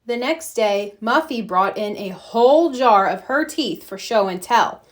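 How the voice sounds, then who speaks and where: A woman narrates a children's storybook in a slightly high voice. She takes her time, reading at a very slow, deliberate pace with expressive delivery. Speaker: storybook reader.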